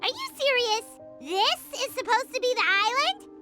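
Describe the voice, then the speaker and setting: Tiny excitable game fairy: childlike, squeaky, very high-pitched, animated, music underneath. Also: female voice, normal speed. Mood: indignant alarm. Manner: excitable, refers to self in third person. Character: Paimon, tiny childlike female fairy companion, chatty and indignant. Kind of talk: video game voice acting.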